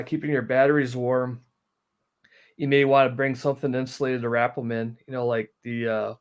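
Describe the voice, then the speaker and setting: A man, explaining things in a practical, low-key way as a guest in a casual interview. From northern Wisconsin, United States. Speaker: guest, outdoor amateur radio operator. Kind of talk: casual live stream interview.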